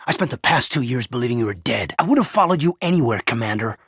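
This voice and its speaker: A loyal, conflicted officer in a sci-fi video game. His voice is mid-pitched and husky, with a forceful edge and animated movement. He speaks with sincere military intensity, recorded close and dry. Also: fast, dry recording. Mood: wounded, accusing. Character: earnest, loyal, conflicted adult male space marine officer. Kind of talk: video game voice acting, dramatic dialogue.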